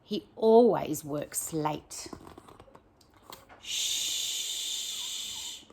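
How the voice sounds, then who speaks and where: A woman, a primary teacher reading a picture book aloud to young children, expressive and encouraging, with chatty questions to her listeners. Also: deep voice, very slow, noisy background.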